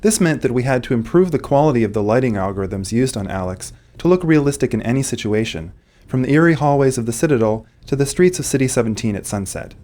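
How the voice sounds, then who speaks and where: A man delivers scripted commentary as a game developer. His voice sits at an ordinary male pitch, and he explains technical work in prepared, complete sentences. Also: lively intonation, fast, mellow.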